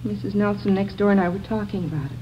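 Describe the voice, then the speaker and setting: A woman's voice: mid-range voice, fairly even intonation, fast, lightly acted. A woman acts out a worried suburban mother in an old radio drama. She is fretful and hesitant, sounding close to despair. The vintage recording is muffled, with some background noise. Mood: subdued worry. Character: distraught, worried suburban mother of missing girls. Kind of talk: 1950s radio drama acting.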